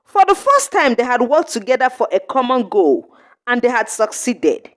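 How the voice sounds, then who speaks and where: A woman with a fairly high voice reads a folktale as a narrator. Her pitch rises and falls a great deal from phrase to phrase. The delivery is earnest and measured, like a storybook read aloud. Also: very animated. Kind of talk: scripted narration of an African folktale.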